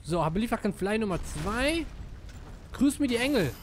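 A man giving casual live gameplay commentary, excitable and slangy, dropping in English gamer words. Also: high voice, moderate pace, slight background noise. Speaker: let's player.

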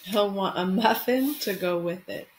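A woman's voice: mid-range voice, a little slow. A woman in her thirties, an elementary school teacher speaking expressively and simply to children, as when reading a picture book. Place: small room at home. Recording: home webcam recording with faint noise.

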